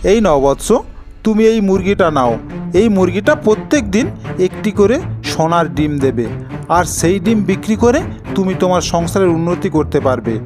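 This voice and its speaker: A man playing a benevolent holy hermit in a cartoon fairy tale, solemn, reassuring and fatherly. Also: high-pitched. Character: benevolent holy hermit granting a magic gift.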